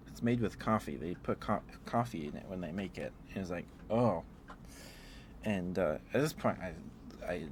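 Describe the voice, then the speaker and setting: A man streaming ASMR, chatting in a halting, rambling way through casual personal stories. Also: low-pitched, slight background noise.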